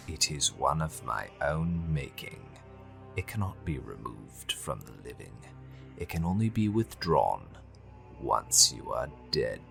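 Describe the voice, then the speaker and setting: A menacing high cleric, with an extremely low voice full of gravel. He is devout, coldly formal and unhurried, in a dark fantasy visual novel drama over clear background music. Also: sing-song, full character voice. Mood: zealous, ruthless. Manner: slow, deliberate, coldly formal. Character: menacing zealous male high cleric devoted to his goddess. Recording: music under the voice.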